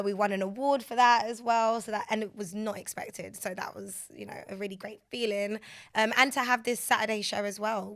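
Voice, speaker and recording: A young woman from London, a radio and TV presenter, talking candidly as a podcast guest. Her voice is raspy today from being out a lot, and she laughs easily. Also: medium pitch, a bit bright. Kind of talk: casual podcast interview.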